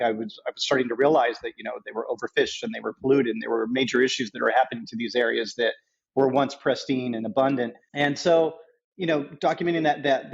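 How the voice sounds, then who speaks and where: A middle-aged man with a husky, creaky voice tells his story as an interview guest. He goes haltingly, doubling back on phrases, and the recording is a little dull. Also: mid-range voice. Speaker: podcast guest, nonprofit founder.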